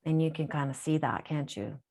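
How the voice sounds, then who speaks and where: A woman with a low voice gives a caller advice. She explains at length and often restarts her phrases. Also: a little fast, gravelly, darkish tone, breathy edge. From Japan. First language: Japanese. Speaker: healer answering a caller. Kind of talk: live group call, casual guidance.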